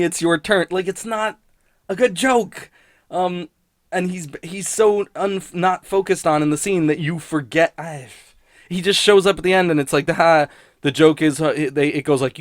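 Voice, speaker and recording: A man's voice: high voice, no echo, studio quality. A man rambling casually and sardonically in an unscripted review, swearing freely like a podcast critic.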